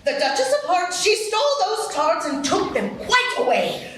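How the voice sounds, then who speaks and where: A high, bright, lively voice of a fussy rabbit herald, played by a woman, announcing in a formal, officious way. The live radio play sounds echoey, with faint noise. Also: moderate pace, exaggerated voice.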